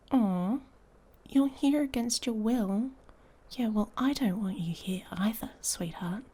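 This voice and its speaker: A woman playing an irritable, sarcastic she-wolf pack heir in an intimate ASMR audio roleplay, soft and breathy, often near a whisper. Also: medium pitch. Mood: scornful, annoyed. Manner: sardonic, exasperated, drawling with sighs.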